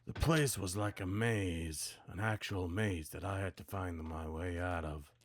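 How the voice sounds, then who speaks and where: A man voicing a brooding, world-weary hard-boiled detective from a noir video game, with a grim, deliberate delivery. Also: low-pitched, slight background noise.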